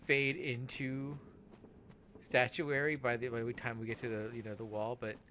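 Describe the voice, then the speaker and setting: A man chatting casually as he commentates on a game, halting and often trailing off mid-thought. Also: medium pitch, flat delivery, bright, forceful tone, slight background noise. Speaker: let's play podcast host.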